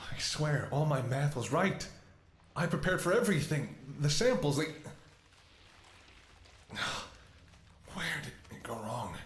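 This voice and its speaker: A man playing a devoted, desperate doctor caring for his infected lover in an emotional, halting ASMR roleplay, with an echoing sound. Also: fairly high pitch, a little hiss or hum. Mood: panicked, distraught. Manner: halting, stammering, emotional delivery. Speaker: voice actor in an audio roleplay. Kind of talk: ASMR dramatic roleplay monologue.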